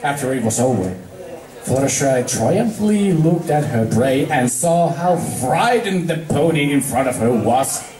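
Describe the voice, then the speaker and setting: A man reading a story aloud as an amateur narrator, halting and stumbling over words. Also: medium pitch, expressive, a little mellow. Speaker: amateur fan fiction reader. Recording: echoey room, distant mic.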